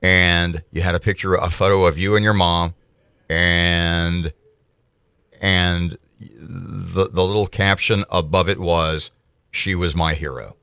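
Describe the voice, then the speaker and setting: A man's voice: low voice, fairly even intonation, slow pace, bright, forceful tone, clean tone, no echo. A man hosting a radio talk show, prompting his co-host with questions and wry jokes in the studio. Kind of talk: conversational radio interview.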